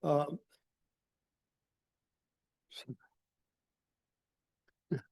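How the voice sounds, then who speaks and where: An older man giving a seminar talk, folksy and halting, fumbling with his slides. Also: a little mellow. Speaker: guest speaker at a seminar. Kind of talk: casual conference presentation. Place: lecture hall. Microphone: handheld mic, held low at times.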